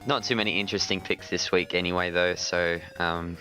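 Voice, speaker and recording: A man co-hosting a casual podcast chat, sick and partly hoarse, talking with hesitant, stammering restarts. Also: deep voice, fairly even intonation, brisk, sharp tone, faint music behind. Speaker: podcast co-host.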